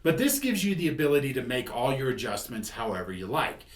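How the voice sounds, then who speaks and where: A man's voice: mid-range voice, big pitch swings, brisk. A man presenting a tutorial demonstration in a conversational, explanatory way, often rephrasing his points. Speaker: host and product demonstrator. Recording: echoey room with faint background noise.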